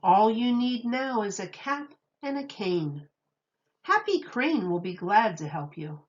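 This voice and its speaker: A woman voicing a helpful, flattering male tailor in a storybook, with a salesmanlike manner. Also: fairly high pitch, unhurried, muffled recording.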